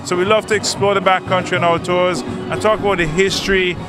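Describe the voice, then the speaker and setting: A man with a notably high-pitched voice speaks off the cuff as a tour operator being interviewed. Music plays clearly behind him. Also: flat delivery, brisk. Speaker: tour operator, award winner. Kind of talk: unscripted interview remarks.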